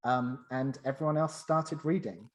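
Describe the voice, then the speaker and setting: A man's voice: mid-range voice, a little slow. A man from the UK with a British accent, presenting a semi-scripted personal anecdote.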